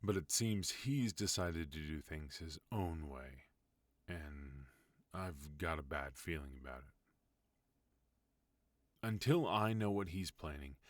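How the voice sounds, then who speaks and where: A man voicing a protective mafia boss husband in an ASMR roleplay, talking low, firm and softly pleading. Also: no echo. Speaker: voice actor.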